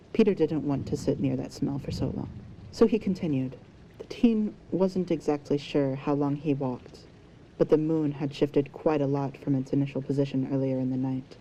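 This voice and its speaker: A woman's voice: deep voice, mellow, dull audio. A woman narrator reading scripted fan fiction as an audio story. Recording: faint background noise and ambience.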